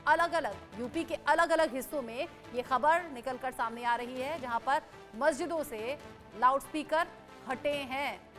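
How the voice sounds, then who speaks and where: Female TV news anchor, high voice, emphatic live delivery, faint music underneath. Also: a little slow, a bit bright. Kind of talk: live TV news reporting and questioning.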